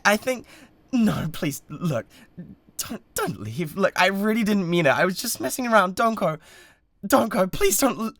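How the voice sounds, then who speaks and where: A man's voice: sits high, a bit bright, dry recording, full character voice. A British man playing a bratty, obsessive yandere livestreamer in a dramatic audio roleplay, talking to viewers in a theatrical, stammering, defensive way. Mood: desperate, pleading.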